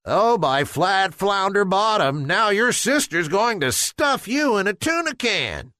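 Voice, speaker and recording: A man's voice: sits high, sing-song, measured pace, pushed and bright, dry recording, studio quality, voice pushed up, heavily acted. A man voicing young guppy playmates in a children's storybook, fretful and exclamatory. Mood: dismayed.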